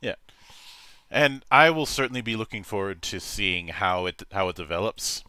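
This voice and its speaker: A man's voice: lowish voice, expressive, normal speed, sharp tone, clear, resonant tone, no room sound. A man hosting his online show, thanking his guest and signing off with theatrical catchphrases. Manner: theatrical, with rising emphasis on catchphrases. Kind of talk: casual show host sign-off.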